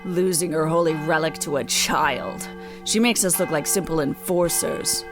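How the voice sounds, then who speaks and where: A woman's voice: low-pitched, normal speed, lightly acted. A woman voicing a cynical sister soldier with a dry, disapproving manner. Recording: music bed under the voice.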